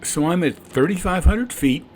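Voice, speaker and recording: A man's voice: sits high, animated, moderate pace, darkish tone. A man who is a private pilot, explaining his flight and making radio calls. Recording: cockpit and radio noise.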